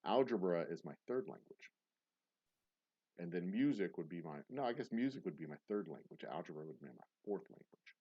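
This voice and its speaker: A man's voice: sits low, expressive, fairly quick, breathy edge, lo-fi sound. A man hosting a trivia game night on a livestream, rambling with self-mocking jokes and restarts. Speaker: host reading trivia questions on a livestream. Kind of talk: casual live-stream banter.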